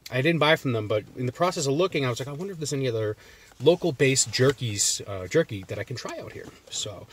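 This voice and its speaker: A man's voice: mid-range voice, lively intonation, fast talker, slight background noise. A man with a Boston accent, chatting loosely in a vlog from inside his parked car, rambling with lots of fillers and restarts. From Massachusetts. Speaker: jerky reviewer. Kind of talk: casual vlog chat.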